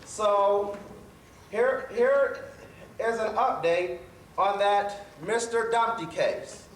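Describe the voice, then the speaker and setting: A cheerful, high-pitched male professor played by a stage actor hosts a children's show. He takes his time and stumbles over his words as he presents. The sound is echoey and distant, with faint noise. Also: animated, extremely slow, heavily acted. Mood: upbeat, presenting. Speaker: storyteller host. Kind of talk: live children's theatre hosting, telling jokes.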